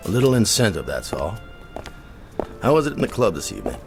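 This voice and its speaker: An actor playing a self-assured older colonel with a courtly, dry manner in a radio drama. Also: male voice, lowish voice, big pitch swings, fast talker, music in the background.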